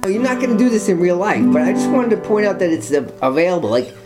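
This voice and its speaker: A man's voice: high-pitched, animated, quick pace. A man giving a guitar lesson, patiently walking students through chords step by step. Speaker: guitar teacher. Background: guitar playing.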